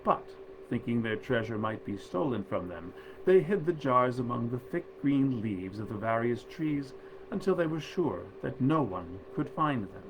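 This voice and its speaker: Guy reading an old tale out loud, kinda theatrical and genteel, average pitch, noticeable noise behind him. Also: animated, normal speed. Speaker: storyteller, actor reading aloud at event. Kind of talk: expressive literary storytelling.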